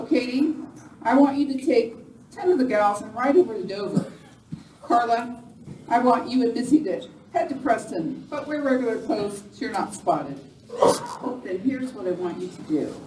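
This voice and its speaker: A woman's voice: a little high, lively intonation, moderate pace, lightly acted. A woman playing a tough, commanding female outlaw gang leader in a live amateur Western radio play. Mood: commanding, scheming. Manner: bossy, giving orders. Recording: roomy, distant mic, faint noise.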